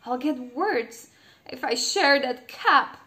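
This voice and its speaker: A woman's voice: high voice, animated, slow, pushed and bright, echoey room, pitched above the performer's own voice, heavily acted. A woman voicing a squeamish, spoiled young princess in a storybook reading, whiny and dramatic. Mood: fretful.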